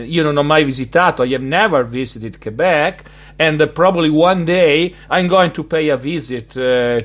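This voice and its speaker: A man's voice: a little high, fairly bright tone. An Italian man with an Italian accent hosting a casual interview, speaking hesitantly with many fillers. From Italy. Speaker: podcast host.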